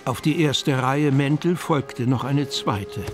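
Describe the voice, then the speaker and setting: A man's voice: medium pitch, slow pace, lightly acted. A man narrating a children's fantasy radio play as a storyteller. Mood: calm storytelling. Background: music.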